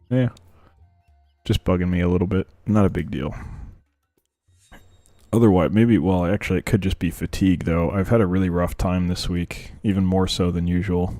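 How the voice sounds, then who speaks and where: A man's voice: low voice, mellow, no echo, studio quality. A young man with a sore throat, chatting and venting casually while playing a game. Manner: rambling, venting, frank. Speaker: gaming YouTuber. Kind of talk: casual gameplay commentary.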